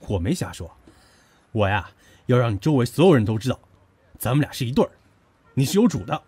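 An actor playing a passionate, boyish young man in an audio drama, with a husky, insistent delivery. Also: medium pitch, big pitch swings, a little fast, dark tone. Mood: playfully possessive. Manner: emotional, insistent. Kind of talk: Chinese audio drama acting.

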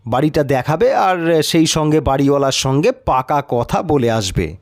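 A man giving a deliberate, dramatic first-person narration of a story. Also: on the high side, dry recording, studio quality.

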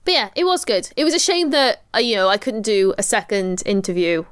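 Clear-voiced young Welsh woman chatting. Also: mid-range voice, brisk, no room sound, clean studio sound. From Wales. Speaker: podcast co-host and astrophysics researcher. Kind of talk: casual chat.

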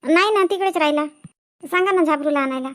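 A woman's voice: extremely high pitch, a little fast. A woman voicing a nagging village field worker in a cartoon comedy, with a rural Vidarbha Marathi accent and blunt, colloquial chatter. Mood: bantering. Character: nagging village women working in a paddy field.

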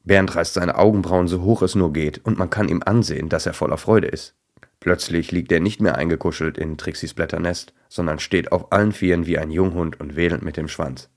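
A man with a low-pitched voice narrates a story for children. He is the storyteller, reading scripted narration. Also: steady tone, brisk.

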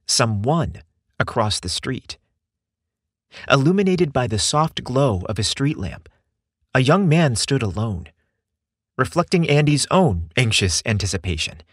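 A man hosting and narrating a scripted romantic story, with expressive, deliberate storyteller phrasing. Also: medium pitch, dry recording, studio quality. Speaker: podcast host and narrator.

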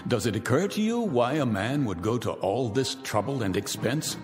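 An actor playing a wealthy, cynical man who calmly announces the end of the world from his bunker, with a smooth, menacing, deliberate delivery. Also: medium pitch, close to an everyday voice. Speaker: Mr. Raiden, bunker owner. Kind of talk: radio drama acting. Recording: long reverb with music beneath.

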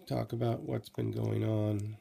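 A hoarse, tired middle-aged man rambling slowly in a late-night video diary, coughing now and then, recorded close on a laptop camera in a small room. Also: on the low side, level tone, mellow, a little hiss or hum. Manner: slow, tired, rambling with many fillers. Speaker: vlogger. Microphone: laptop or phone camera close to face.

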